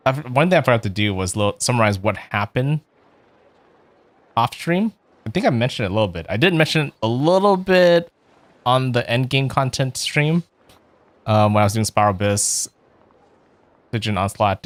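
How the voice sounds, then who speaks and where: A man's voice: medium pitch, big pitch swings, moderate pace, dry recording. A man hosting a casual video game let's play, chatting in a rambling way with fillers and small chuckles. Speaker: virtual streamer playing a video game.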